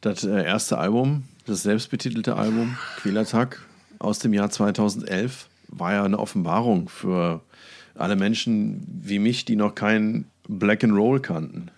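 A middle-aged man co-hosting a podcast, chatting casually about music with dry, teasing, halting delivery. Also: fairly low pitch, vocal fry, a little mellow. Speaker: podcast co-host.

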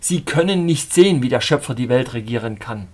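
A man reading an audiobook voices a fanatical male preacher who rants in a husky, declamatory way. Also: on the high side, animated, moderate pace, pitched above the performer's own voice. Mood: fervent ranting. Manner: shouting, declamatory.

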